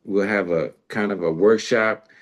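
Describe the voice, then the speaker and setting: A man's voice: on the low side, unhurried. A man in casual conversation about music as a show host, using informal, colloquial phrasing.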